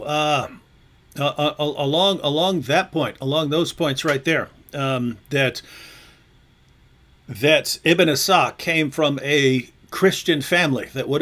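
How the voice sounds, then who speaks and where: A man's voice: fairly high pitch, slow pace, fairly bright tone. A man hosting a discussion, speaking animatedly, mimicking opponents and addressing viewers directly. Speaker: show host. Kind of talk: discussion on a live show.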